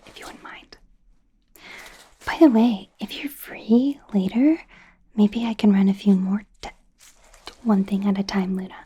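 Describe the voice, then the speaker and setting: A woman playing an eager, friendly, slightly awkward female werewolf doctor in an ASMR medical exam roleplay, speaking in a soft breathy whisper with a hesitant, rambling manner. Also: mid-range voice, a little hiss or hum, lightly acted. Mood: flustered, playful.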